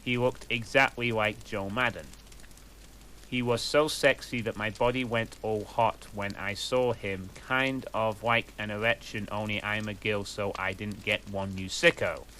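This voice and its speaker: A man doing a slow, deadpan comic reading of a fan story. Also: lowish voice, a little hiss or hum, rough recording. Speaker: reader.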